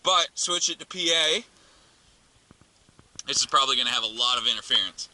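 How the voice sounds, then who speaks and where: A man's voice: medium pitch, expressive, a little fast. A man giving a casual hands-on tutorial as a vlogger, informally thinking aloud while he works inside a car. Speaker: vlogger demonstrating a car radio install. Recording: noisy car interior with clicks.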